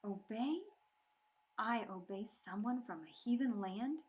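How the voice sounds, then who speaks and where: A woman voicing a defiant young Irish girl who answers with loud, scornful outbursts. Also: mid-range voice, slow, highs cut off.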